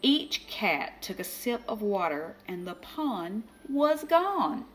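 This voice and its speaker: A woman reading a children's picture book aloud as storyteller, expressive, with dramatic emphasis. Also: mid-range voice, slow, low-quality recording. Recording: faint background noise.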